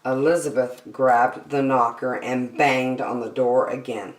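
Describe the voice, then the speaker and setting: A woman reading a picture book aloud to children as a storyteller, expressively, with deliberate dramatic emphasis on key words. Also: extremely low pitch, steady tone, slight background noise. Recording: roomy indoor echo.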